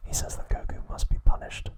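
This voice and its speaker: A male ASMR host speaks entirely in a soft whisper. He narrates from a script with a measured, soothing bedtime delivery, keeping his voice unvoiced throughout.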